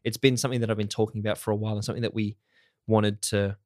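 A man hosting a casual podcast chat, soft-voiced and relaxed, swearing now and then. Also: lowish voice, very fast, dry recording, clean studio sound. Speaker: podcast host. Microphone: studio mic in a home-built voiceover booth.

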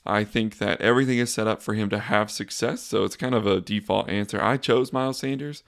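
A young man hosting a casual solo sports podcast, talking in a flat, monotone, rambling way. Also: fairly low pitch, a little fast, gravelly. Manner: monotone, says so himself; relaxed, rambling with fillers and restarts. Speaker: podcast host.

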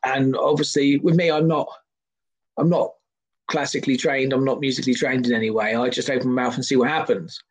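A man's voice: mid-range voice, steady tone, brisk. A man in his thirties, a singer and comedian, chatting casually in a self-deprecating, jokey, rambling way. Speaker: podcast guest, singer and comedian. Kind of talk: casual chat.

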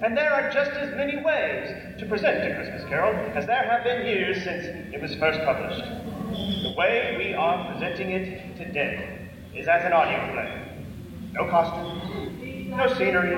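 A man with a high-pitched voice announces a live radio play from a script. He is heard far from the microphone in a very echoey hall, with clear noise around him. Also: expressive, unhurried. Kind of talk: scripted old-time radio show introduction. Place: live performance in a hall before an audience.

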